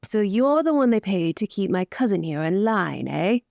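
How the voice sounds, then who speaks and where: A woman's voice: mid-range voice, sing-song, moderate pace, creaky, a little mellow, no echo, dull audio. A woman playing a wry, resigned noblewoman in an improvised roleplay, with a sardonic delivery.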